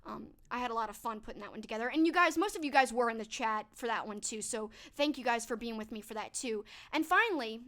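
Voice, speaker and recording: A young woman with a high, bright-pitched voice wraps up a livestream in a chatty, upbeat way, thanking her viewers by name. Her voice sounds a little stuffed up and congested. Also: quick pace, hardly any pauses. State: a bit stuffed up. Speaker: livestream host. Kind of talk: casual livestream wrap-up talking to chat.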